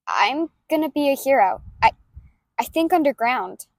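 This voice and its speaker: A woman voicing a shy, hesitant young boy with quiet resolve in an audiobook, speaking haltingly and earnestly. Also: sits high, expressive, no echo. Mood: shyly earnest. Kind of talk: audiobook character voice.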